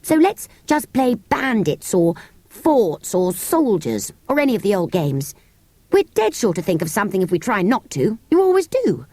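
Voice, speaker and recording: A woman's voice: medium pitch, very animated, moderate pace, dark tone, dry recording. A woman voicing a pompous, bossy Edwardian schoolboy in a children's storybook, with an English Received Pronunciation accent and an oratorical manner. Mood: grand, bossy. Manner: oratorical, self-important. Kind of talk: children's storybook character reading.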